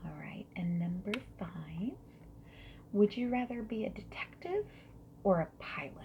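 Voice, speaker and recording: A woman doing a soft-spoken ASMR roleplay as a friendly survey interviewer, slipping between whispers and quiet speech. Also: medium pitch, lively intonation, gravelly. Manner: friendly, gentle, unhurried questioning with soft acknowledgements. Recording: lo-fi muffled sound with background noise.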